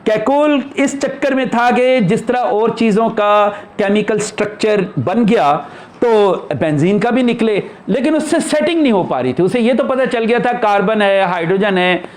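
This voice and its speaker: A man teaching a class in a conversational religious discourse, explaining and posing rhetorical questions. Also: sits high. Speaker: spiritual teacher lecturing a class.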